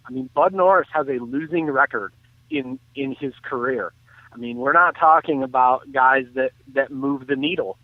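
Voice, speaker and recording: Heard through a thin, phone-like connection with no room sound, a man talks sports. His voice sits at an average pitch, and he analyses casually, restarting phrases as he goes. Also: normal speed, no echo. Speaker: sports talk commentator. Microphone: phone call. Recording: thin, tinny phone line.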